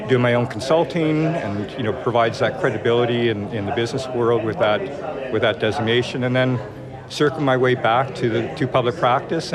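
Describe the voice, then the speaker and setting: A man in his sixties, an accountant and board member, answering interview questions with many restarts and fillers. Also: mid-range voice. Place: busy brunch reception hall. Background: crowd chatter.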